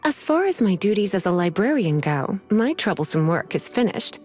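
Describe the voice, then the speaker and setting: A woman's voice: mid-range voice, very animated, normal speed, darkish tone, lightly acted. Woman as a languid, teasing librarian mage. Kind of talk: game voice acting. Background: faint game music.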